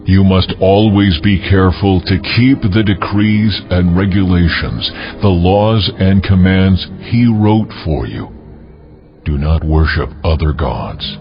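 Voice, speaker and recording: A man playing God as a solemn, commanding deity, speaking with deliberate authority in a dramatized scripture reading. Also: deep voice. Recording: dull lo-fi audio, music underneath.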